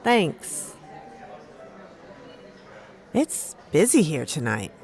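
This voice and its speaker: A woman's voice: low-pitched, very animated, very slow, vocal fry, darkish tone, soft background music, exaggerated voice. A woman acting a guarded, reflective young storyteller in a fantasy audio drama. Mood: guarded, wistful. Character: woman tavern storyteller, twenties, recalling a hard youth.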